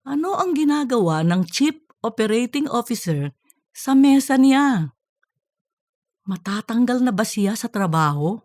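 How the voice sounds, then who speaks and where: A woman's voice: a little high, very animated, measured pace, a little mellow, no echo, studio quality. A woman narrating a scripted comic audiobook story.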